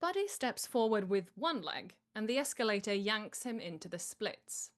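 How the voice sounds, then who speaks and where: A woman's voice: medium pitch, very animated, normal speed. A woman reading stage directions as the narrator of a live comedy table read.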